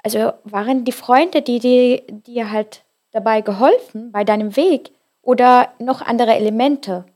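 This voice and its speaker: A woman's voice: on the high side, unhurried, a little mellow. A woman interviewing a guest, asking friendly, encouraging questions. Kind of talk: casual interview.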